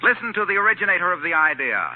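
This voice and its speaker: Animated 1940s radio announcer man, tinny sound. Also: sits high, racing. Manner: polished, emphatic salesmanship.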